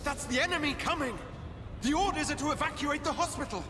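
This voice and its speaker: Casting: woman playing an urgent hospital evacuee-leader for a war game scene, high-pitched, over loud music with echo. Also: brisk, close to an everyday voice. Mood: urgent, alarmed. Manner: urgent, commanding. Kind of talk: video game cutscene acting. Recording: echoing space, loud music.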